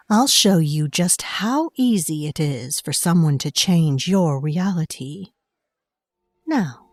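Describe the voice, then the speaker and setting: Slow, mellow ancient otherworldly storyteller woman. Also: fairly low pitch. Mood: confiding, sly. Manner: deliberate, confiding, addressing the listener directly. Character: ancient otherworldly female storyteller, unbound, knowing, faintly scornful of humans. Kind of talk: scripted dark fantasy audio fiction narration.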